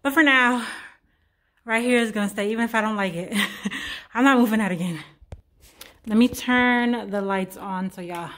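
A woman's voice: a little high, normal speed, long pauses, a bit bright. A young woman chatting casually to her viewers while decorating her home, laughing at herself and calling them y'all. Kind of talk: casual home vlog chat. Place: bright home, living room and stairway.

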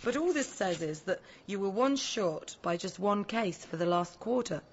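An actress playing a dry, sardonic celestial assistant in an audio drama, practical and given to wry quips. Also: female voice, mid-range voice, animated, normal speed, slightly rough. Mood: encouraging, practical. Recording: muffled audio drama mix under loud music.

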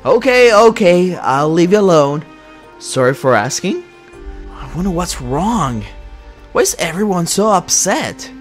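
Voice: medium pitch, very animated, a little slow, soft background music. A performer voicing an anxious, paranoid young male superhero leader in a Minecraft roleplay, worried and hesitant.

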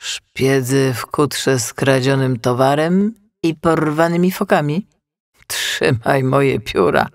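A man's voice: medium pitch, takes it slowly, vocal fry, no echo, studio quality. A man voicing a cheeky male tern who loves conspiracies and talks in slangy, dramatic, suspicious bursts. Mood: astonished.

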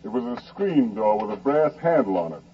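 Actor guy as wry poet telling a story on 40s radio drama, measured, muffled and a little hissy. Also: mid-range voice, very animated, lightly acted. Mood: wry, reflective. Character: well-known male poet recounting a wry travel anecdote.

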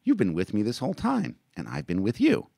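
A man's voice: mid-range voice, huge pitch swings, fairly quick, vocal fry, no echo, studio quality, pitched above the performer's own voice, full character voice. A man voicing a tender, motherly widow who soothes a child in a raised, coaxing voice. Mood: soothing. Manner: soft, coaxing, lifted voice.

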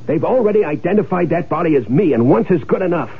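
A man's voice: a little high, lively intonation, quick pace, slightly rough, heavily acted. An actor playing an edgy, bossy man scheming to fake his death, in 1970s radio drama style with urgent delivery. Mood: agitated, insistent. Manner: urgent, commanding. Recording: narrow tinny 1970s radio recording, faint noise.

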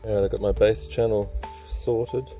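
A man's voice: lowish voice, fairly even intonation, measured pace, warm tone, soft background music. A man giving casual, unscripted vlog commentary in a rambling, understated way, recorded on a handheld phone in and around a car. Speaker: car audio hobbyist vlogger.

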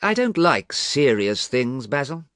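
A man reading as a vain, restless young gentleman, his voice petulant and then hard and cruel. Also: mid-range voice, sing-song, measured pace, no echo, studio quality, voice pushed up. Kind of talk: audiobook character reading.

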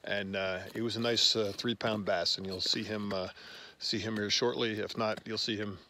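A man in his fifties with a fairly low voice speaks casually to a phone held at arm's length outdoors. He goes in halting short phrases, pausing often, with faint noise behind him. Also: fairly even intonation, bright, forceful tone. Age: 50s. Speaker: host, father fishing with his sons. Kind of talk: casual outdoor vlog narration. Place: outdoors on a frozen lake. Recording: noisy outdoor phone recording.

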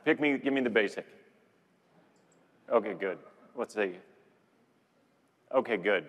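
A man's voice: fairly low pitch, lively intonation, a little slow. Middle-aged man on a reverberant keynote stage. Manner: repeats words and phrases for emphasis. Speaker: chief executive co-presenting a keynote. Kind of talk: conversational keynote presentation. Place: large conference stage with audience. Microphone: lapel mic. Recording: reverberant large hall sound.

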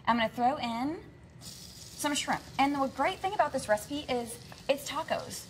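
A woman's voice: sits high, animated, unhurried, slight background noise. Upbeat young woman demoing a recipe. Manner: upbeat, instructive, chatty. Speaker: cooking guest from a grocery store. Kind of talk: live TV cooking demo chat. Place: TV studio kitchen set.

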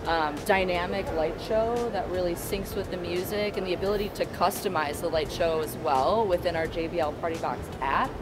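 A woman's voice: lowish voice, moderate pace, hardly any pauses. A woman presenting a product line at a trade show. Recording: noisy trade-show floor ambience. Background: show-floor noise.

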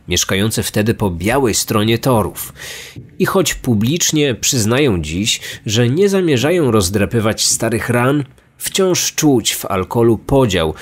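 A man hosting a podcast, telling true stories as scripted documentary narration. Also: mid-range voice. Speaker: true crime podcast host.

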